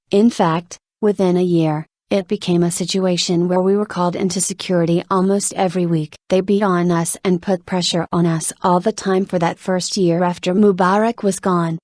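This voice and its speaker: A woman reading an interview transcript aloud in an even, measured delivery. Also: lowish voice, dry recording, studio quality. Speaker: reader of an interview article.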